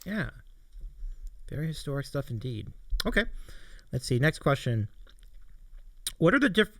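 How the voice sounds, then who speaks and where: A man's voice: fairly high pitch, big pitch swings, measured pace, a bit bright, dry recording. A man hosting a casual interview, agreeable and affirming as he passes questions to his guest. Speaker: show host interviewing a guest. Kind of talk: casual live interview chat.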